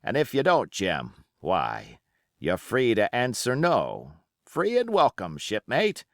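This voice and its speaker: A sly old seafaring pirate played by a male reader, all cajoling sailor talk with menace under the geniality. The pitch swoops about freely and the pace is unhurried, as in a storybook told aloud. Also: wildly swooping pitch, dry recording, studio quality, exaggerated voice. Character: wily old male sea-cook pirate captain, genial yet menacing. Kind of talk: audiobook character acting.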